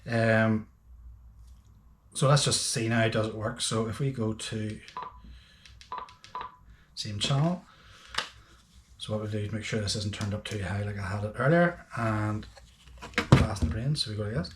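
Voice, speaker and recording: A man's voice: on the low side, unhurried, long pauses, noisy background. A man talking casually and a little hesitantly through a hands-on product review, thinking aloud as he goes. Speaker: host of a boating gadget channel.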